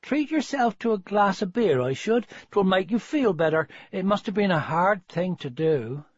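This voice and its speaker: A man voicing a brisk, no-nonsense but kindly middle-aged farmer's wife in an audiobook, with homely rustic speech. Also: sits high, very animated, slightly rough, dry recording, lo-fi sound, voice pushed up, full character voice. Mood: wry.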